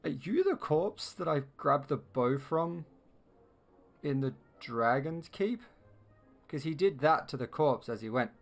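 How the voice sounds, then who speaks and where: A man's voice: on the high side, expressive, measured pace, gravelly, soft background music. A man giving casual let's play commentary, muttering his thoughts aloud as he plays. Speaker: gamer playing and commenting.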